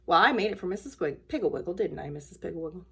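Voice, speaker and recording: A woman's voice: on the low side, animated, rapid-fire, vocal fry, fairly bright tone, breathy edge, heavily acted. Woman doing an eager, boastful young child. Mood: proud. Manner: earnest, emphatic, boastful. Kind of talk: children's storybook read-aloud.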